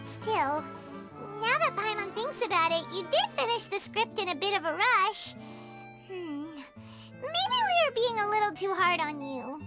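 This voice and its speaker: Casting: pint-sized game fairy sidekick, squeaky, very high, animated, blunt and chirpy. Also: female voice, unhurried, full character voice. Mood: upbeat, considerate. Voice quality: squeaky, childlike. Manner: refers to self in third person, frank. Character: small childlike floating fairy companion girl, blunt and chirpy. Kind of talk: video game voice acting. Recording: music behind the voice.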